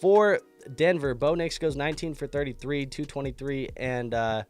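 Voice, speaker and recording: A man's voice: mid-range voice, normal speed, faint music behind. A man hosting a fantasy football show, congested from an illness, talking through a casual sports recap with a loose, chatty delivery and frequent restarts.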